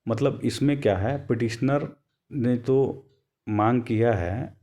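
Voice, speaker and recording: A man's voice: medium pitch, dark tone. A man giving an informal explanatory commentary, rephrasing and repeating himself as he goes. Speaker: YouTube host explaining a court case update.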